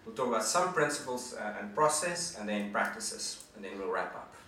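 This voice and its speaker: A man's voice: lowish voice, lively intonation, normal speed, sharp tone, slight background noise. A man in his forties gives an earnest, conversational presentation to an audience as a guest speaker. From South Africa. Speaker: guest speaker, management consultant. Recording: echoey hall from far off.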